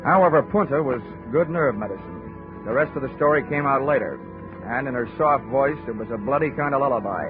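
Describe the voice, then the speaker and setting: A man's voice: medium pitch, animated, a little fast, lightly acted. An actor playing a wry, wisecracking insurance investigator who narrates his own case in a 1940s radio drama. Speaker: narrator and lead detective. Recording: tinny 1940s radio broadcast with music.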